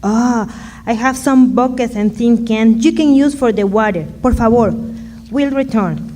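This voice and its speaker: Humble, generous Mexican mother, played by a woman: slightly high voice, Spanish accent, halting English, radio play. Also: mellow. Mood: gentle, helpful. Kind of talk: radio drama acting.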